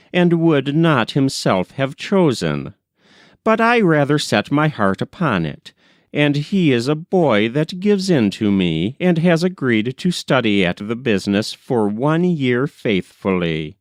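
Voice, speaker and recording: A hearty middle-aged working man, acted out by a male narrator, speaking up in a raised, lively pitch. He is forthright and decided, a plain man of few words in a storybook scene. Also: dry recording, clean studio sound.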